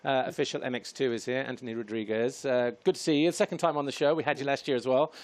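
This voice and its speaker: A man's voice: medium pitch, steady tone, quick pace, no echo. A man hosting a TV studio show, upbeat and chatty, laughing easily as he runs the show. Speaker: TV show host.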